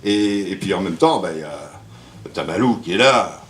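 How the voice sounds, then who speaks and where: A man's voice: deep voice, lively intonation, moderate pace, a bit bright. A man giving a rambling, hesitant speech to an audience at a book launch. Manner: rambling, hesitant, with jokes and praise. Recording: roomy hall with faint ambience.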